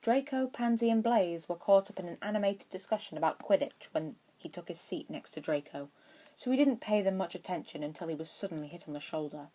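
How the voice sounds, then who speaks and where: A woman's voice: fairly low pitch, animated, fairly quick, mellow. A woman reading a story aloud as scripted narration. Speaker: fan fiction podfic reader.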